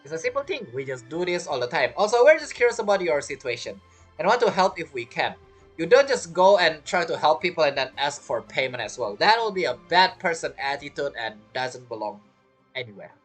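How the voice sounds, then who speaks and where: A woman's voice: low-pitched, big pitch swings, a little fast, breathy edge, faint music behind. A woman giving chatty, playful reaction commentary as she streams a game. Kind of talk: casual reaction commentary over gameplay.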